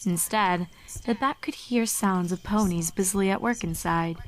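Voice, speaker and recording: A woman's voice: medium pitch, moderate pace. A woman reading a scripted story as a dramatic narrator. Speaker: fan fiction narrator. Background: music under the reading.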